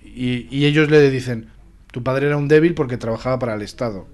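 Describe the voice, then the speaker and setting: A man's voice: medium pitch, a little fast, darkish tone. Emphatic Spanish man discussing films. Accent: Spain. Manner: emphatic, opinionated. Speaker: film discussion panelist. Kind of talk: casual film discussion.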